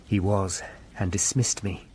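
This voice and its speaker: A man's voice: sits low, steady tone, unhurried, a little mellow, slight background noise. An actor playing a sober Tudor lawyer, narrating his investigation in first person for a radio drama. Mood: measured, tense.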